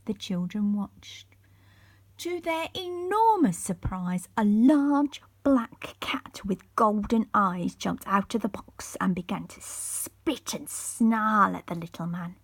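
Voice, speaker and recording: A woman reading a children's bedtime story aloud in a soft, breathy storytelling voice. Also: mid-range voice, no room sound. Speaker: story reader.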